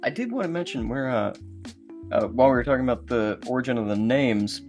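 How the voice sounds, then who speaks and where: A man with a mid-pitched voice talks casually as a co-creator of an audio drama. He retells moments in an informal, storytelling way, slipping into quoted dialogue, with guitar music playing faintly behind him. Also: animated, fairly quick, a little mellow. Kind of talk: casual behind-the-scenes chat.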